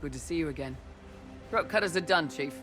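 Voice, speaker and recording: An actor playing a loyal young mercenary lieutenant in a video game, with a dry, cheeky manner. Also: male voice, a little high, fairly quick, music in the background, natural voice. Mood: reporting. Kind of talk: video game character dialogue.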